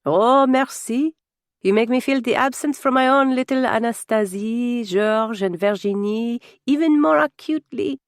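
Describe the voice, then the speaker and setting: A woman voicing a warm, gallant French officer and nobleman with a French accent in an audiobook, effusive and courtly. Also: very high voice, measured pace, smooth, no echo, clean studio sound, pitched above the performer's own voice. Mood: delighted. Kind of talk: audiobook character dialogue.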